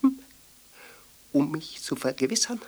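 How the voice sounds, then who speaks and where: A woman in a radio drama playing an eccentric, exalted and fussy ascetic, breathy and theatrical, halting between muttering and exclaiming. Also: sits low, big pitch swings, a little mellow, heavily acted. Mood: fussy, interrogating. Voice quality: husky, breathy. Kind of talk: literary radio drama monologue.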